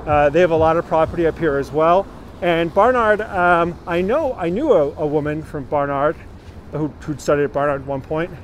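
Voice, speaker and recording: Man, 30s, tour guide, rambling and chatty, slightly raised pitch, outdoor street, handheld phone, faint traffic noise. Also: steady tone, moderate pace. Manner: chatty, rambling, talks to viewers by name. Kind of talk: live-streamed walking tour. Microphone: phone held at arm's length while walking.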